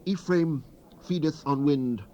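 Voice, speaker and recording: Solemn declaiming prophet, muffled old cassette. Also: male voice, on the high side, takes it slowly, mellow. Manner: solemn, declamatory, archaic oratory. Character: impassioned male prophet of ancient Israel speaking God's judgment. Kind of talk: dramatized King James scripture reading. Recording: muffled, hissy 1970s cassette transfer. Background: tape noise, ambient effects.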